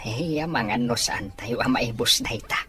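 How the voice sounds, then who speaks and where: Chatty ilocano parent in radio drama, low voice, loud music behind. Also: female voice, moderate pace. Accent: Filipino, Ilocano.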